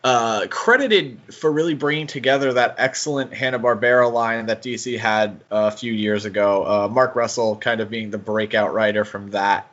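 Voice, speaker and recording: A man hosting a podcast in a casual discussion style, talking in a halting, conversational way. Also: fairly low pitch, a bit bright, lo-fi sound. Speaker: podcast host.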